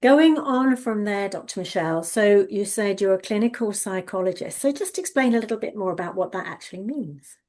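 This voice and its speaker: A woman's voice: mid-range voice, animated, brisk, hardly any pauses, darkish tone. A woman hosting an interview on a video call, enthusiastic and encouraging, often repeating words as she talks. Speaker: interview host. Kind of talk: interview conversation.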